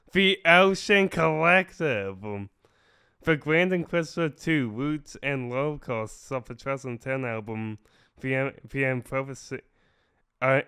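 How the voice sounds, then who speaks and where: Male host, crisp bright voice, slightly stuffy, boisterous, informal, metal radio show. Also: mid-range voice. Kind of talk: casual radio show presenting.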